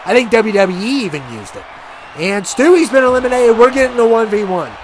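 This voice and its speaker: A man casually commentating a wrestling video game match, rambling and chatty with many fillers, and he says he has a loud voice. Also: very high voice, big pitch swings, brisk, crowd noise. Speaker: gaming commentator.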